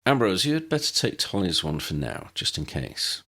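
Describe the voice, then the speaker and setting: A man's voice: low-pitched, very animated, moderate pace, a bit bright, heavily acted. A man voicing a decisive, practical young male team leader in an audiobook.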